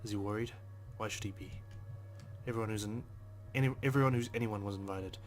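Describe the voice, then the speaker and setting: A man's voice: on the low side, fast, faint music behind. A man hosting a game playthrough, reading visual novel narration aloud in a brooding, sweary way with casual asides. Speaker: let's play host.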